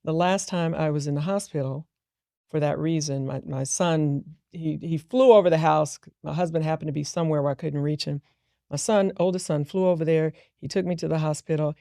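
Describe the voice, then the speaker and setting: A woman's voice: low voice, a little fast, creaky, dark tone, dry recording, studio quality. A middle-aged woman, a business founder, telling a personal story earnestly and reflectively in an interview. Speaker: guest entrepreneur.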